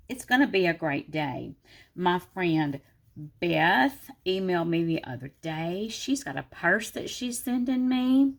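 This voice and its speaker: Brief: sick woman vlogging from her home desk, low gravelly voice, congested and worn out. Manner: tired, low-energy, rambling. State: sick, congested, sore throat. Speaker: planner vlogger. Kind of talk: casual vlog talk to viewers.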